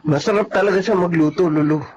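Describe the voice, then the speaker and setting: A man's voice: sits high, fast talker, darkish tone, lo-fi sound. An actor playing Noli, a resentful spoiled young man who uses drugs, in a radio drama. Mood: sullen.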